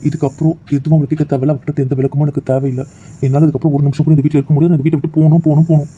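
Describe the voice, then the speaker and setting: A man's voice: medium pitch, little pitch movement, very fast, mellow, husky, lo-fi sound, lightly acted. A man playing a heartbroken rejected husband. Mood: anguished. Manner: pleading, despairing, voice breaking into raised anguish. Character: heartbroken young husband rejected by his wife. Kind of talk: emotional drama acting.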